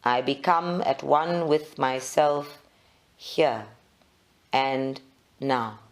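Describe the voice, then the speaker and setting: A meditation leader, giving measured guided instructions in short phrases with long pauses. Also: mid-range voice.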